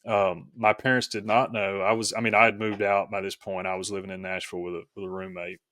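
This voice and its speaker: A man's voice: fairly low pitch, little pitch movement, fast, pushed and bright, no echo. A man speaking as a podcast guest, telling a personal story casually in a reflective, somewhat hesitant way.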